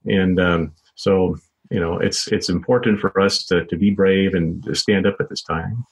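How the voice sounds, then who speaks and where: An American man explaining his views as an interview guest, in halting, repetitive phrasing full of filler phrases and hedges. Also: sits low, fairly even intonation, husky, rough recording. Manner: halting, repetitive phrasing with many "you know" and "sort of" hedges. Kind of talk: informal explanatory interview answers.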